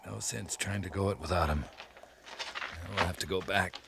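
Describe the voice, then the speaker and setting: An actor playing a weakened American cavalry captain in a 1950s radio western, terse and halting, commanding but worn out, with dry humor. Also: male voice, low voice, gravelly. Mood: weary. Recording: noisy vintage 1950s radio recording.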